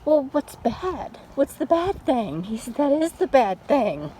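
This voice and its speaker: A middle-aged woman chatting casually in an unhurried, folksy way, like a mom telling stories while out on a walk. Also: high voice. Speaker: storytelling vlogger and mother. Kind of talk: casual rambling chat. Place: outdoors, walking. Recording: steady background noise, outdoors on a walk.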